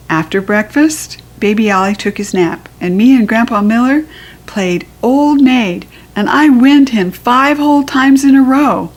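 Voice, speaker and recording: An American woman reads a children's story aloud. Her pitch is average, and her delivery is expressive, playful and childlike. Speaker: storybook reader.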